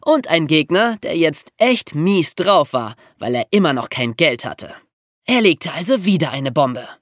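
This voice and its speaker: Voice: medium pitch, sing-song, a little slow, no echo, clean studio sound. A podcast host telling true crime stories for young listeners in an amused, colloquial way.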